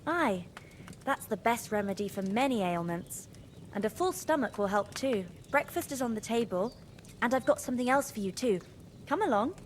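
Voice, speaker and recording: A woman's voice: a little high, animated, moderate pace, a little hiss or hum, close to an everyday voice. A woman playing a kind, folksy village maid. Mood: cheerful. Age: young adult. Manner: folksy rustic speech, down-to-earth. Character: young village woman, homely, kind and practical. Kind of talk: video game cutscene dialogue.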